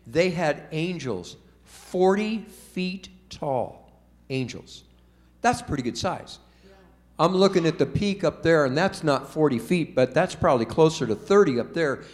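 Older guy in his 70s, kinda high voice, chatty storytime with kids, keeps asking them stuff. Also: expressive, unhurried. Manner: folksy, asks the children questions. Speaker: storyteller for children. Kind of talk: casual children's storytime. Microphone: told from memory without notes.

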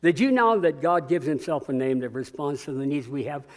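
Booming older pastor preaching a sermon. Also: male voice, animated. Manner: booming, emphatic, slows on key words. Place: church.

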